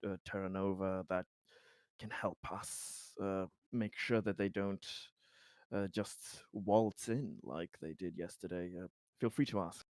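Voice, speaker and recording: Man playing a halting, low-voiced, polite colony official. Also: darkish tone, clean tone, no room sound, studio quality. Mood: grateful, earnest. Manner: stammering, halting, deferential. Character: hesitant, polite, earnest male colony official in sci-fi game. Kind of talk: improvised in-character tabletop roleplay.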